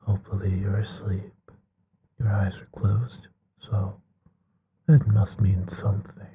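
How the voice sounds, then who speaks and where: A genteel, bookish vampire, acted by a man, speaks in a low, very breathy hush that often drops to a whisper. His delivery is soft, mellow and slow, musing tenderly with long pauses, as an intimate ASMR bedtime roleplay. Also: little pitch movement, full character voice. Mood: tender, soothing. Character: courtly, bookish male vampire, gently affectionate.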